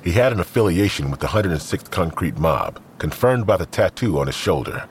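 A man's voice: low voice, big pitch swings, brisk, a little hiss or hum. A man narrating a scripted crime audio drama in the role of storyteller.

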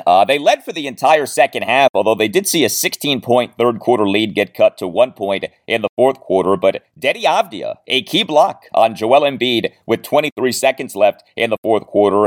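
A male sports podcast host with a lowish voice delivers a game recap. His pitch swings widely as he emphasizes stats, and his phrasing is deliberate.

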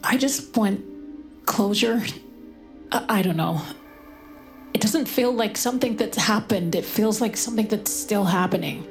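A woman's voice: mid-range voice, a little slow, vocal fry, long pauses, soft background music. An actress playing a grieving, guarded mother in an audio drama, with an American accent and a hesitant, subdued manner. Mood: grieving, weary. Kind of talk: naturalistic audio drama acting with narration.

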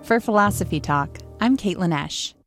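A woman narrating a radio feature report in a light, measured way. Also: mid-range voice, expressive, music in the background.

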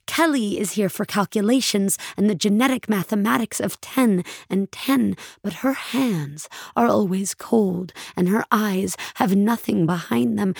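An actress playing a haunted, solemn poet aboard a starship, narrating her story in a measured, lyrical, deliberate way. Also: medium pitch, vocal fry, a little mellow, breathy edge, dry recording. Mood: grave, resolute. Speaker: narrator and lead character of an audio drama. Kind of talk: dramatic first-person scripted narration. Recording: dry studio with music behind.

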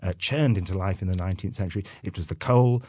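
Welsh man, guest expert, dry wit, explanatory, low pitch, dead-dry sound. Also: fast talker, darkish tone, breathy edge, dry recording. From North Wales. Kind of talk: radio interview.

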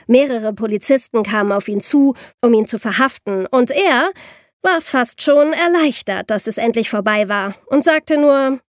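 A woman playing a young podcast host who explains crime cases to friends in a clear, patient, conversational way. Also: fairly high pitch, normal speed, no echo, clean studio sound, natural voice. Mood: explanatory, engaged.

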